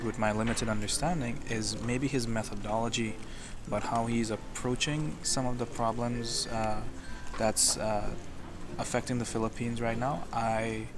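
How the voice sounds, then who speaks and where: A man from the West Indies giving a thoughtful street-interview opinion, restarting phrases as he builds his argument. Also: medium pitch. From West Indies, Caribbean. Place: outdoors in a city. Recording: outdoors with clear background noise.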